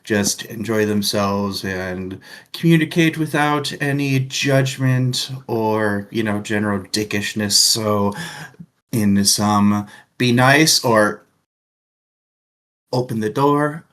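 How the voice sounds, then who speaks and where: A young man with a low-pitched voice talks slowly and loosely on a book chat stream. He rambles through his opinions, laughing often and dropping the odd crude joke. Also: a bit bright. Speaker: book discussion co-host. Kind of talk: casual chat.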